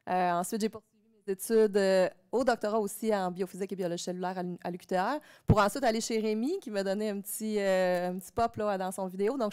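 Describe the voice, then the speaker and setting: A middle-aged woman with a Québécois accent, a professor on a panel, talking in a relaxed, chatty way. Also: medium pitch, clear, resonant tone. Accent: Québécois French. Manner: relaxed and chatty, quick self-deprecating remarks. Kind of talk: casual panel talk. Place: conference hall stage.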